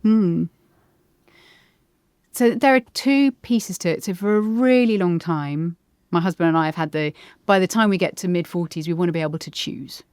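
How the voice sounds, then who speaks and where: A woman in her forties, a podcast guest, talking in a thoughtful, measured way with frequent pauses. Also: on the low side, darkish tone, clear, resonant tone, dry recording, studio quality. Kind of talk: reflective conversation.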